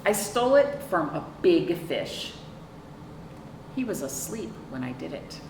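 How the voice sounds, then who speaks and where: Animated middle-aged woman at storytime. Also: low voice. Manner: expressive, drawn-out emphasis and questions to young listeners. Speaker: children's librarian hosting storytime. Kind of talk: picture-book read-aloud with chatty asides to children. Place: small indoor room, seated on a couch. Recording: roomy, distant mic with clear background noise.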